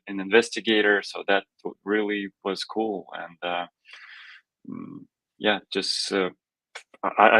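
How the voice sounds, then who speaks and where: Man, from Russia, low voice, halting, lots of restarts, dry close sound. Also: fairly bright tone, no room sound, poor audio. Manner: halting, many restarts and fillers. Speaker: guest, immigration lawyer and founder. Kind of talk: casual interview answers.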